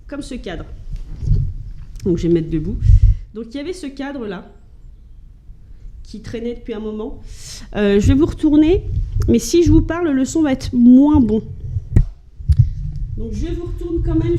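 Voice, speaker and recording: A woman from France chats casually to her camera at home. She talks in a mellow, warm voice pitched a little high, stopping and restarting her sentences with plenty of fillers as she shows her finds. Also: a little hiss or hum, poor audio. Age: adult with children. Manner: chatty, hesitant, lots of fillers and restarts. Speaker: vlogger showing thrift finds.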